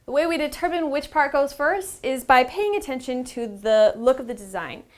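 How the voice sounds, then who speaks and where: A woman's voice: high voice, expressive, normal speed. A woman instructor explains a hands-on process step by step in an informal, conversational way. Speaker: instructor presenting a how-to series. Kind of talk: instructional demonstration, casually explained.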